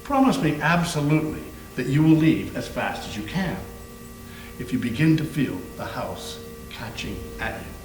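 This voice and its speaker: A man's voice: a little high, sing-song, moderate pace. A man playing a grave, eager doctor who investigates hauntings, in an amateur readers theater performance. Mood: grave, warning. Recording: echoey room with music behind.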